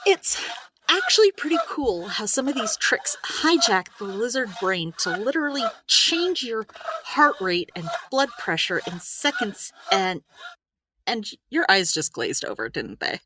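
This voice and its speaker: A woman playing a brisk, practical supernatural healer in an audio drama, chatty and explanatory with wry asides. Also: mid-range voice, very animated, noisy background. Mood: explaining. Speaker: healer giving therapy advice. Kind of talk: audio drama dialogue, conversational.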